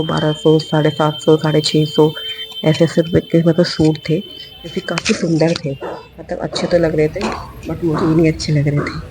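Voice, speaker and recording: A hoarse woman vlogger chatting casually in a voice-over about a shopping trip, repeating phrases for emphasis. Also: deep voice, a little mellow, a little hiss or hum, poor audio.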